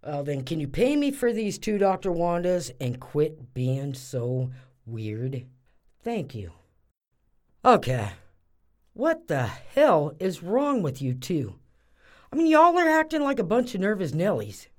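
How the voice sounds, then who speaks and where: A woman's voice: on the low side, sing-song, slow pace, a little mellow. Actress as a sassy Southern small-town woman. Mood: sassy, suspicious. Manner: teasing, blunt. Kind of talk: comic audio drama acting.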